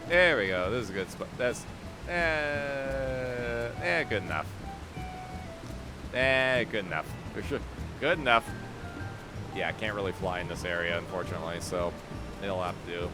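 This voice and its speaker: A man hosts a relaxed game livestream in a low, lively voice. He rambles, swears and often repeats his own lines, and his voice sounds slightly strained. Game music plays behind him. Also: a little slow, long pauses. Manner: rambling, profane, repeats himself. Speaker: livestream host. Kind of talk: casual game stream chat.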